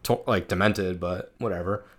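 Guy with a lowish voice just chatting on a fan podcast, super casual. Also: steady tone, normal speed. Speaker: co-host of a horror attraction fan podcast. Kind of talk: casual chat.

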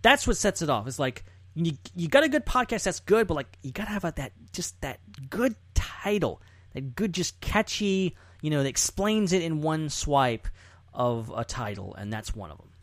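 A man's voice: on the high side, lively intonation, normal speed, fairly bright tone, no room sound. A man hosting a casual podcast chat, talking in an effusive, affirming way. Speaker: podcast host.

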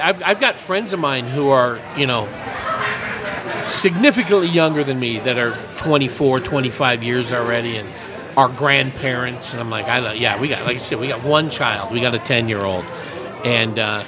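A man in his fifties hosting a casual chat in a café, joking and rambling through stories. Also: mid-range voice. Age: 50s. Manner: jokey, rambling storyteller. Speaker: podcast host.

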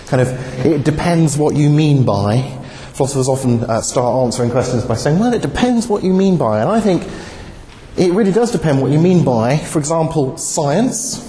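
A man giving an academic lecture to students, with a slightly croaky voice and a wry, animated manner. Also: mid-range voice. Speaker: guest speaker, philosopher. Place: lecture hall. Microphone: handheld microphone. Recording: long hall reverb, faint noise.

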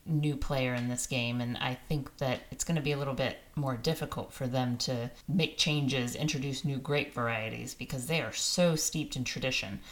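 A woman co-hosting a wine show, reading out news items and asking her co-host questions in a lightly teasing way. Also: very deep voice. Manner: newsy, inquisitive, lightly teasing. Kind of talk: conversational wine news presenting.

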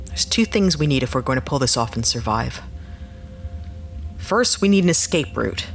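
A woman's voice: extremely low pitch, expressive, moderate pace, gravelly, faint music behind, full character voice. An actress playing a resolute, guarded woman who leads a sci-fi crew, terse and practical in an audio drama.

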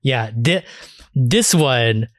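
A man in a dry-sounding recording, talking as a podcast co-host. His voice sits at an ordinary male pitch. He chats informally about anime, with crude wording and swearing. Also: expressive, extremely slow, no echo. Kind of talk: casual chat about anime.

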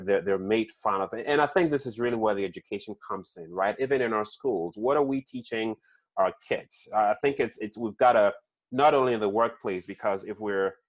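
Male host with a foreign accent and a lowish voice, loose and chatty, stumbling over repeated words. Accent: non-native English accent. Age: young kids, adult. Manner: frequent restarts and "right?" tags. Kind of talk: conversational interview.

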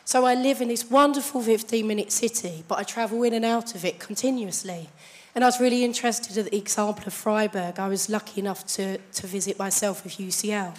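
Croaky-voiced woman asking from the floor. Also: medium pitch, fairly even intonation. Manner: chatty, anecdotal, measured. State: croaky, hoarse voice. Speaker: audience member asking the panel. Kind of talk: question from the floor at a conference.

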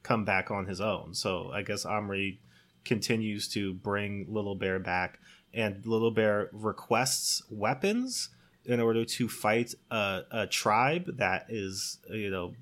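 A man in casual conversation, recounting a story in a halting, rambling way with lots of "like". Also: fairly low pitch, fairly bright tone. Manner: rambling, halting, heavy use of "like". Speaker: podcast co-host. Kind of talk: casual chat, recounting a plot.

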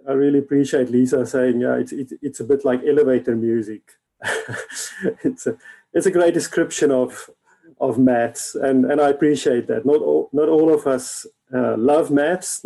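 A man preaching in a relaxed, halting way with many fillers, an Afrikaans speaker. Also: medium pitch, creaky, slightly rough, rough recording. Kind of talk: informal sermon. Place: church hall.

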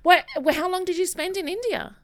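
A woman hosting an interview podcast, enthusiastic and quick to exclaim. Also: very high voice, fairly bright tone. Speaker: podcast host.

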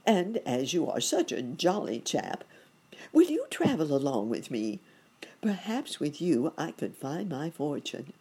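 A woman voicing a mild, wistful old gentleman rabbit in a storybook, gentle and courteous. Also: deep voice, big pitch swings, unhurried, husky, full character voice. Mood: pensive, hopeful.

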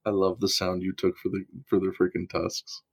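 A man's voice: low voice, completely flat delivery, moderate pace, gravelly, husky, dull audio. Man running a tabletop game. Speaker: game master.

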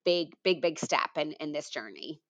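Woman, Irish accent, middle-aged, low-pitched, hesitant with ums, careful explaining, podcast guest, dull lo-fi sound. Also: animated, moderate pace. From Ireland. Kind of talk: conversational interview.

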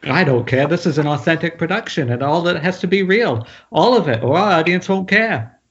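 A man's voice: on the high side, animated, brisk. A man playing a stubborn yet sheepish film director in an amateur table read over an internet call. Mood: insistent. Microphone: internet video call. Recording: dull, noisy internet call, clicks.

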